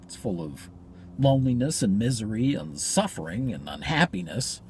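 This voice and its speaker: A man's voice: fairly low pitch, very animated, moderate pace, slight background noise, pitched above the performer's own voice. A man performing a comic monologue as a neurotic, pessimistic comedian with wry delivery. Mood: wry gloom. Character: neurotic, gloomy male comedian musing on life.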